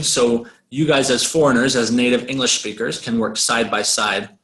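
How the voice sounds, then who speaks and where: A man's voice: medium pitch, a bit bright. A man talks new volunteers through what to expect in an online briefing. His voice has a roomy echo around it, and he speaks casually with loose, hedging phrases. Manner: loose and conversational, hedging words. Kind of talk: webinar briefing.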